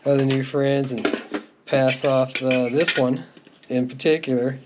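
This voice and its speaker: Clear background noise sits under the voice of a middle-aged man. He talks at an average male pitch in an unscripted, conversational way. It is a casual show-and-tell, full of easy reminiscing. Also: flat delivery, unhurried. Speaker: music collector presenting their collection. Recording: clearly noisy home recording with clicks.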